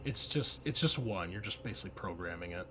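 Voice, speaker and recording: A man's voice: deep voice, animated, fast. A man running a tabletop roleplaying game, narrating scenes and explaining rules to players in a casual, informal way.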